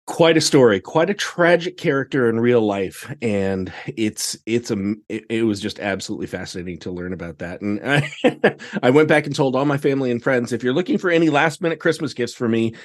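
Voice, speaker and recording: American male podcast guest, mid-range voice, animated casual storyteller. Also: medium pitch, brisk. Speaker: podcast guest, theatre director.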